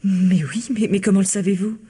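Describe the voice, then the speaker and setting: Husky, flustered society woman. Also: mid-range voice, flat delivery, fairly quick, mellow. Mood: nervous, contrite. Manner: hesitant, stammering, evasive. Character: flustered married society woman, blackmail victim under police questioning. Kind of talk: vintage radio mystery acting.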